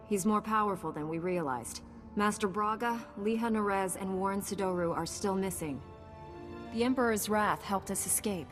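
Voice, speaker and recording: A steadfast female Jedi voiced by a woman in a game cutscene. The delivery is direct and businesslike at an ordinary pitch, with soft music underneath. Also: moderate pace, close to an everyday voice. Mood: serious, steady. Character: resolute female Jedi knight, firm and guarded. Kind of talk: video game cutscene acting.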